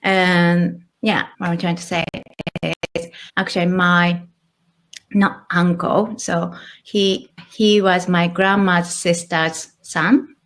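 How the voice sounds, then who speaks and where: A woman in her thirties with a Japanese accent, talking as a guest in a relaxed interview. Her voice sits a little low for a woman. She thinks aloud with small restarts. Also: slow pace, rough recording. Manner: thoughtful, with small restarts and light laughs. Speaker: guest, author and illustrator. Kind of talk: casual interview conversation.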